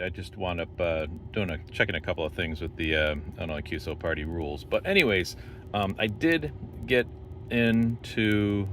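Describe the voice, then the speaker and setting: Deep-voiced man over clear background noise. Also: a bit bright. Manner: informal, step-by-step explaining. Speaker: amateur radio operator demonstrating contest setup. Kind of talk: casual unscripted tutorial, thinking aloud. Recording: noisy vehicle interior, clear background noise.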